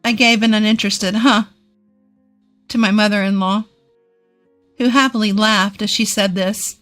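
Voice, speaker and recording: A woman's voice: mid-range voice, fairly even intonation, normal speed, fairly bright tone, faint music behind. A woman reading a scripted first-person family drama as its narrator. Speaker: story narrator.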